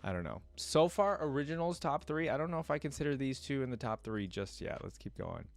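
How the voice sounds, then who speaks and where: A man's voice: mid-range voice, big pitch swings, a little fast, creaky. A man hosting a reaction video, talking casually and thinking aloud as he addresses his viewers.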